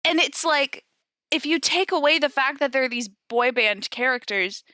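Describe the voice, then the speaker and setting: A woman in casual conversation as a podcast co-host, giggly and animated in the way she talks. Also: high voice, normal speed, no echo, studio quality. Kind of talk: casual chat.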